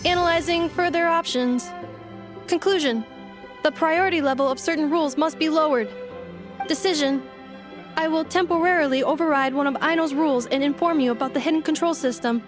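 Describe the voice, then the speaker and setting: A woman plays a calm robot assistant in a game. The voice is high and polite, delivering clipped, formal statements with machine-like precision over background music. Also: brisk, lightly acted. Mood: formal, measured. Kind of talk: video game voice acting. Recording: music behind the voice.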